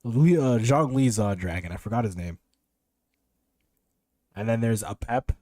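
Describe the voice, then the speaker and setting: A man's voice: medium pitch, expressive, unhurried, mellow, dry recording, clean studio sound. A man streaming a video game with casual commentary, rambling and swearing as he thinks aloud.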